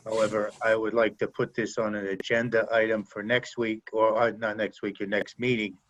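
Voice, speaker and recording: A man on a video call calmly brings a resident's problem before a town commission. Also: fairly low pitch, normal speed. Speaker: commission member. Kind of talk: speaking at a public meeting. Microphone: remote video call. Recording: dull lo-fi call audio.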